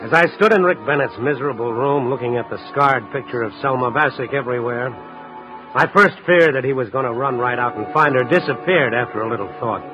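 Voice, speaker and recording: An actor playing a tough, dogged night-beat newspaper reporter in a 1950s radio drama, narrating and talking in a terse, urgent, hard-boiled way. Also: male voice, mid-range voice, fairly quick, highs cut off, music in the background, lightly acted. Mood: brooding narration.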